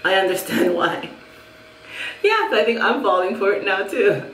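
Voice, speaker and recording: A woman's voice: medium pitch, big pitch swings, normal speed, far from the mic, a little hiss or hum. A middle-aged Jamaican woman reviewing a wig in a casual, chatty way, laughing often and repeating herself. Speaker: hair vlogger. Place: small room at home, indoors. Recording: echoey room, a little off mic.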